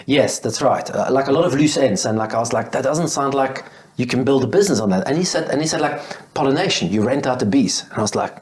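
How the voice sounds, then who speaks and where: A man in his thirties tells his story in a relaxed, rambling way. He slips into quoting past phone calls, full of "like" and "um". His voice sits at an ordinary middle pitch. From Belgium. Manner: chatty, acts out past conversations with many fillers. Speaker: guest, beekeeping business owner. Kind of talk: casual interview storytelling.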